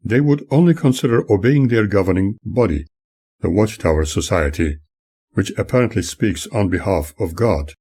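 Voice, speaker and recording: A man with a low, mellow voice reads a memoir aloud as its author. His delivery is formal and deliberate, with lively swings in pitch for emphasis, and the tone has a slightly husky edge. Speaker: author reading own memoir. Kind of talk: scripted audiobook narration.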